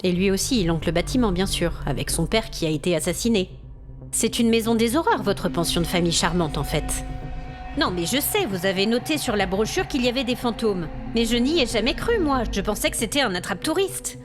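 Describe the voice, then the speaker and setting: A woman's voice: mid-range voice, expressive, a little fast, music in the background, natural voice. A woman playing an exasperated, haughty hotel guest with complaints, in an acted audio fiction monologue. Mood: wary, exasperated. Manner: haughty, aggrieved, demanding. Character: indignant, exasperated female hotel guest complaining about ghosts.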